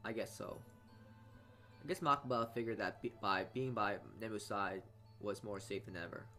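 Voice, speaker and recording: A man's voice: lowish voice, pushed and bright. A man reading a visual novel's narration aloud and casually commenting, often stumbling over words. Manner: halting reader, often stumbles and repeats words. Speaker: video game let's player. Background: game music.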